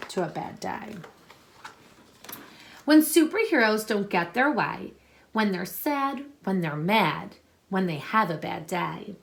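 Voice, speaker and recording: A woman teacher reading a picture book aloud to children, expressive and instructive, pausing to explain and ask questions. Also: medium pitch, creaky. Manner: deliberate, instructive, addressing young listeners with questions.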